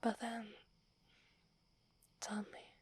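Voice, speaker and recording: A woman with an Italian accent playing a submissive, earnest female werewolf in a soft, breathy, hesitant ASMR whisper. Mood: deferential. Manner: hesitant, respectful, measured.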